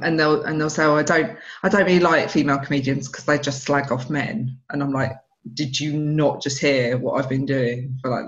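Essex-accented woman comedian, dull call audio. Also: sits low. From England. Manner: animated storyteller who acts out other people's lines. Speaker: stand-up comedian guest. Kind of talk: casual interview chat. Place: home room, video call.

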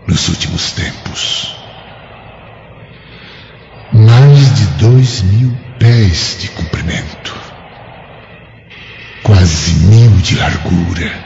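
A wandering Roman ghost, wistful and grandiose, with a low, creaky voice. The soliloquy drags very slowly in a radio drama. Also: male voice, a little mellow, full character voice. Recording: dull audio with some noise.

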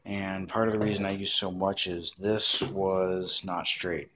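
A man's voice: low voice, flat delivery, unhurried, background noise. A man giving a casual hands-on craft tutorial, explaining step by step in plain words.

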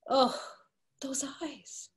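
A woman voicing a romantic young Irish woman in a dramatic reading over a video call, dreamy and at times whispering. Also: drawn-out words. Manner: breathy, dreamy, sometimes whispered.

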